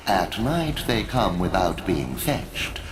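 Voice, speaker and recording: A very low, unhurried voice for a pompous forest riddle master in a fantasy game. He is sly and grandiose, swooping theatrically across his range as he savours each phrase. There is clear ambient noise behind him. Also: heavily acted. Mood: riddling. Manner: pompous, deliberate, theatrical delivery. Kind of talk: video game character acting.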